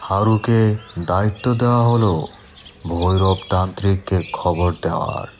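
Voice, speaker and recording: A man's voice: low voice, normal speed, slight background noise. A man narrating a scripted horror story, delivering it dramatically in short, broken phrases.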